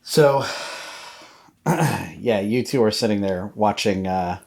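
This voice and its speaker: A man narrating a tabletop game as game master, improvising the scene in a halting way. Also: lowish voice, vocal fry, a little mellow.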